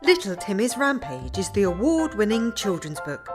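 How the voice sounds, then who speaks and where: A woman announces a parody children's book ad. Her mid-pitched voice moves up and down a lot, with clear music playing behind it. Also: very animated, moderate pace. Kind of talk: mock children's book commercial.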